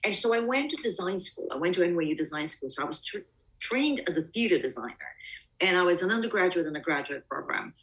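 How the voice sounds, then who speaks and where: Bulgarian-born woman, low voice, a bit distant. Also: lively intonation, quick pace. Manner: reflective, many restarts and "I mean". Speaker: production designer guest. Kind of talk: conversational interview.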